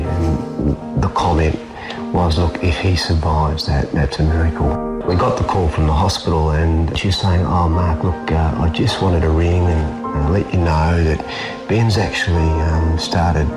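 A man telling a news interviewer about his son's recovery, in a measured storytelling way. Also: a bass voice, rough recording. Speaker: father interviewed in a news report. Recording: broadcast clip with loud music bed.

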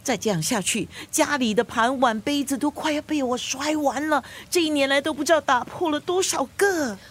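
A woman playing a gruff but loving grandmother of nearly seventy in a radio drama, with a scolding, grumbling manner. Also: high voice, normal speed, lightly acted. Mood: rueful. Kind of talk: Chinese radio drama acting.

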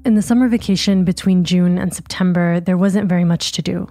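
A woman hosting a podcast, reading scripted narration in a measured, even way. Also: lowish voice, no room sound. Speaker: podcast host.

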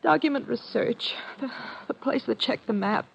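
A woman's voice: a little high, moderate pace, gravelly, breathy edge, exaggerated voice. An actress playing a young woman in shock, bitter and distraught, in a tense 1950s radio melodrama. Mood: dazed, subdued. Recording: muffled, noisy vintage radio recording.

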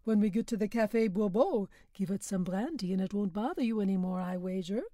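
A woman's voice: mid-range voice, a little fast, mellow, no echo, clean studio sound, lightly acted. A woman voicing a jovial village joker man who teases in a boisterous way. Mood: mocking. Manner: teasing, boisterous.

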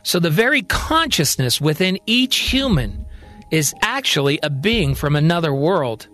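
Man, high-pitched, dramatic scripted narration, storyteller, faint music bed. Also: big pitch swings, a little slow, a bit bright. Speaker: storyteller and podcast host.

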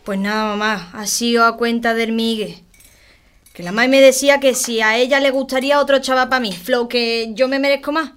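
A woman's voice: on the high side, fairly even intonation, normal speed, a bit bright, clear, resonant tone, soft background music, lightly acted. A woman playing a young student daughter in an audio drama, touchy and eager, with slangy speech and an Andalusian accent. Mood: upset, chatty. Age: young adult. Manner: slangy, colloquial. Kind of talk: naturalistic audio fiction.

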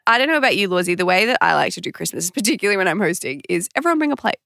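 A woman's voice: mid-range voice, animated, very fast, gravelly, a bit bright, dry recording, studio quality. A woman co-hosting a casual podcast in a chatty, informal, playful way. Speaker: co-host.

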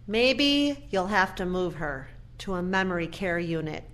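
A woman acting in an audio play as a guarded, resentful adult daughter, measured and pointed with her brother. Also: lowish voice, expressive, fairly bright tone. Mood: challenging.

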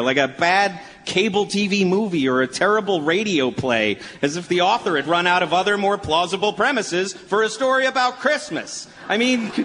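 A man's voice: sits high, a bit bright. A man acting in a live radio play as a skeptical, hesitant adult son who stammers a little. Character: Brian, skeptical adult son of a feuding sportscaster. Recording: live stage, long reverb, clear noise.

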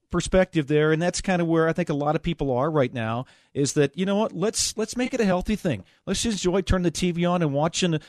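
American radio host, slightly high male voice. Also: dry recording, clean studio sound. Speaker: radio call-in show host. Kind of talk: conversational sports talk radio.

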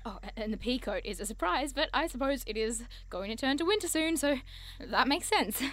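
Eager, polite, higher-voiced young enthusiast. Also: female voice, expressive, fairly quick, fairly bright tone, no echo. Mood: awed, gushing. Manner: gushing, polite, earnest. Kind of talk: audio drama acting.

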